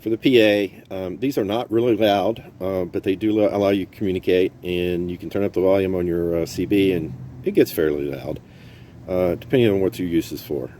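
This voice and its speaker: A man's voice: sits low, fairly quick, mellow. A man giving a casual, plain-spoken, unscripted product walkthrough as host, recorded on a phone. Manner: plain-spoken, informal, explanatory. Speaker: host of an off-road radio gear overview. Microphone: phone used for filming. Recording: noisy phone camera audio.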